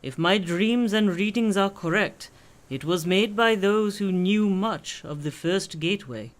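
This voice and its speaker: A man playing a learned, cryptic swami in a radio play, speaking in a formal, measured, knowing way. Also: high-pitched. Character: learned, cryptic swami versed in dream worlds.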